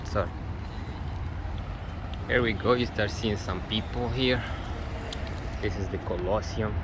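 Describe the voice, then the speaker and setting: Man vlogging over loud street noise. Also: lowish voice, fairly even intonation, slow. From Tunisia. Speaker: travel vlogger. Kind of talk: casual walking vlog with personal reflections. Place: outdoors on a busy tourist street. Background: street ambience, passing vehicles.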